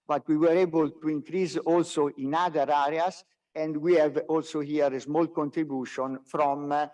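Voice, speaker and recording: A non-native English-speaking man with a slightly high voice presents business results as an executive. He speaks haltingly, often repeating words, and is picked up from a little distance on a conference call. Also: fairly even intonation, dark tone. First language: not English.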